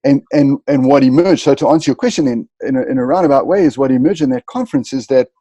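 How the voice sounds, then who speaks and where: A man from southern Africa, a guest in an interview, explaining leadership ideas in dense, lecture-like answers that trail off quietly at phrase ends. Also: medium pitch, quick pace, breathy edge, dry recording.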